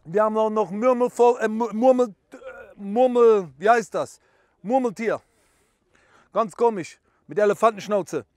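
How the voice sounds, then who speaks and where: A man's voice: sits high, moderate pace, darkish tone, no echo, clean studio sound, natural voice. A man playing a boastful self-proclaimed bird photographer who speaks broken German mixed with English. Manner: boastful, stumbling over words. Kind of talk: parody how-to video.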